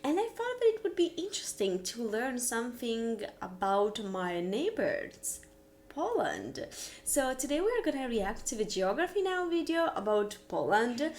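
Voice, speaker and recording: Young woman, reaction channel host, high lively voice, chatty, faint background hum. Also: moderate pace. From Ukraine, raised in Italy. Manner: chatty, curious, laughs easily. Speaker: reaction streamer. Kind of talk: casual reaction chat.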